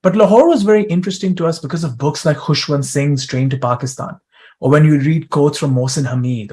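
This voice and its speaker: A man's voice: medium pitch, darkish tone. A young man from East Africa hosting a conference interview, talking in a conversational, joking way. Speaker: host, interviewer.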